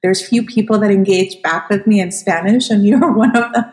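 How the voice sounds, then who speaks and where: A woman's voice: medium pitch, moderate pace, darkish tone. A middle-aged woman from Costa Rica, a guest in a casual interview, talking in a chatty, rambling way with many restarts. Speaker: guest, venture fund founder.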